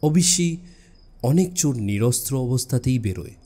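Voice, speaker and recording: A man narrating a suspense story in a measured, dramatic way with deliberate pauses. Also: medium pitch, expressive, warm tone. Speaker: audiobook narrator. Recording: music bed behind the voice. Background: background music.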